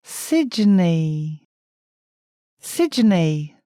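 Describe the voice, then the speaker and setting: A woman giving careful, deliberate model pronunciations for learners, repeating each word. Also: lowish voice, very animated, warm tone, no room sound, clean studio sound. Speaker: native-speaker example voice.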